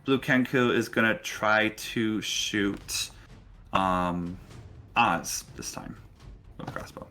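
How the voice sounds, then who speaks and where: A man's voice: on the low side, slow, pushed and bright, a little hiss or hum. A man narrating a tabletop roleplaying game as the game master.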